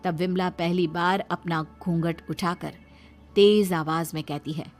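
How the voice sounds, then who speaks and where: A woman's voice: lowish voice, steady tone, measured pace, a little mellow, soft background music. A woman reading a scripted dramatic story narration for a cartoon.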